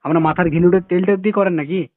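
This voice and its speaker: A man's voice: high voice, steady tone, quick pace, no echo. A man with a West Bengal Bengali accent making an insistent, mock-polite comic prank call, often repeating himself. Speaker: prank caller. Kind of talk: prank phone call, comic improvised chat. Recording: muffled phone call with faint noise.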